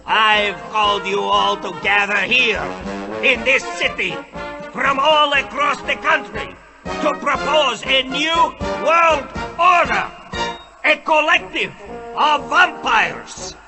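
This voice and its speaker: High-pitched, pompous vampire leader. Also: male voice, slow pace, over music, full character voice. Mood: grand, rousing. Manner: pompous, declamatory. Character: grandiose menacing male vampire leader rallying his kind. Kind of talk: theatrical audio drama oratory.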